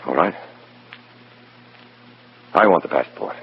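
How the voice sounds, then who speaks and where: Tired conflicted secret agent, super deep voice, old radio show narration, muffled recording w music. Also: male voice, takes it slowly, vocal fry, exaggerated voice. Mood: brooding, torn. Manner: brooding, clipped, hard-boiled delivery. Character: weary, conscience-torn American undercover agent posing as a communist. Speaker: narrator and lead actor. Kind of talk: mid-century radio drama acting, first-person narration.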